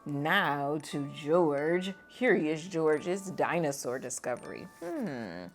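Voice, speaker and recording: Lady reading a kids book out loud real slow, soft lowish voice, faint music behind. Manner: gentle, expressive, lively on exclamations. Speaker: storytime reader. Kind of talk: children's read-aloud.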